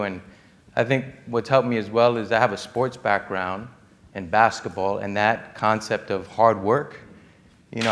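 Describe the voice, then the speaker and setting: New guy, lowish voice, panelist talking personally about himself. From Lower East Side of New York. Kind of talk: conversational panel talk, personal reflection.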